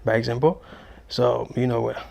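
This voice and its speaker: A man's voice: mid-range voice, steady tone, normal speed, vocal fry, a little mellow. A man from Haiti, a guest answering interview questions hesitantly with many fillers. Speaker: interview guest, adult English student.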